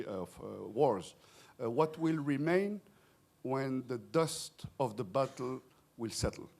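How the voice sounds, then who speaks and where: A man from the audience at a conference, asking the panel a long question with many pauses and restarts. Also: medium pitch, a little mellow. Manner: halting, many fillers and restarts. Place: conference hall.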